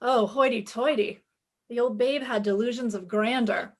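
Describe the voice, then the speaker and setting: Woman as sassy, higher-voiced lady private eye. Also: steady tone, normal speed, lightly acted. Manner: sassy, wry, self-assured. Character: brash, wisecracking young female private detective. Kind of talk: retro radio detective drama with first-person narration.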